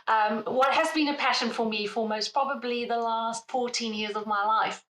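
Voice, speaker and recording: South African woman, expert guest, higher voice, echoey room, explanatory with ums. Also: fairly even intonation, normal speed, fairly bright tone. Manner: explanatory, frequent ums and self-corrections. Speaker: precious metals analyst guest. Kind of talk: expert interview. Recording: roomy echo.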